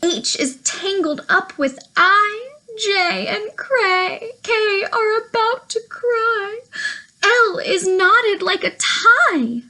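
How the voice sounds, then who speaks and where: Woman, young adult, children's storytime presenter, high voice, very slow pace, sing-song, playful. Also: pushed and bright, poor audio. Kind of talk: reading a picture book aloud to small children.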